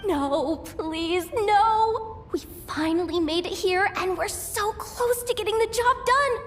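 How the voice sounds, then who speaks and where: High-pitched, jittery young treasure hunter. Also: female voice, animated, takes it slowly, a bit bright, soft background music, exaggerated voice. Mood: anxious, despairing. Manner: flustered, boastful, stammering. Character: jittery young female treasure hunter guide, blustering, secretly soft-hearted. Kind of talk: animated game voice acting.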